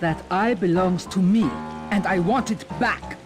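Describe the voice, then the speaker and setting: An actress voicing a haughty, cunning villainess in a video game, speaking in a pompous, contemptuous and theatrical way. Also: female voice, medium pitch, a little slow, loud music behind the voice, natural voice. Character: haughty, cunning aristocratic villainess, a sinister intellectual creature.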